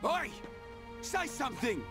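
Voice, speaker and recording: A man's voice: extremely high pitch, sing-song, measured pace, heavily acted. A man with a British accent playing a hot-headed, loyal young fighter in a video game, blunt and defiant. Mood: defiant, angry. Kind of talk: video game cutscene acting. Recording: loud music under the dialogue.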